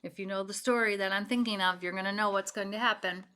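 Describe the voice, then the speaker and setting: A woman's voice: medium pitch, steady tone, quick pace, bright, forceful tone. A woman reading a picture book aloud to children, with chatty explanations and questions for the young listeners. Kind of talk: read-aloud storytelling with chatty asides.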